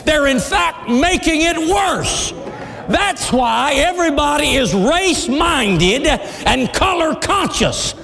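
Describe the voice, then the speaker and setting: A middle-aged pastor preaching a sermon with passion, often shouting and laughing as he speaks. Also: very high voice, animated, slow, creaky, a faint crowd. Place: church sanctuary. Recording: long hall reverb.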